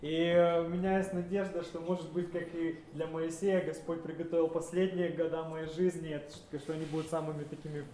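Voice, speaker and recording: A man interprets a sermon live, phrase by phrase, hesitating and correcting himself along the way. His voice is mellow and sits a little higher than most men's. He is recorded in an echoing hall with faint ambience behind him. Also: flat delivery, fairly quick, few pauses, slight background noise. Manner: hesitant, with filler sounds and self-corrections.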